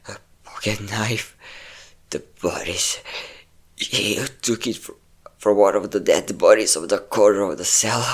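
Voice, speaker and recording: A man's voice: on the low side, slow pace, long pauses, a bit bright, breathy edge, heavily acted. A man playing a possessive, obsessive centuries-old vampire in a dramatic romantic audio roleplay, intense and theatrical in delivery. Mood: shocked, hurt. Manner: intense, theatrical, swinging between tender and threatening. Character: possessive obsessive five-hundred-year-old male vampire lover, menacing, volatile.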